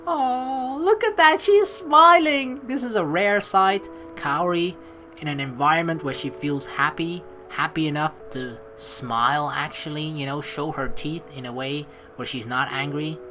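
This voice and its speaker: A woman hosting a let's play, reading game narration aloud and adding chatty, rambling commentary in an expressive way. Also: sits low, measured pace, soft background music.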